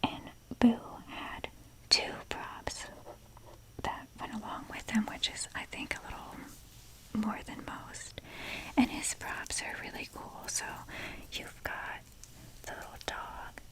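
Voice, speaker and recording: Young female ASMR creator. Breathy whisper, unhurried pace, informal rambling delivery. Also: fairly bright tone, rough recording. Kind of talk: whispered ASMR, rambling casual show-and-tell.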